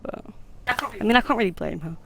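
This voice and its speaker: A woman's voice: mid-range voice, lively intonation, fast, gravelly, noisy background. A woman streaming a game and chatting casually as host, laughing often and poking fun at herself. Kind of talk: casual chat while gaming.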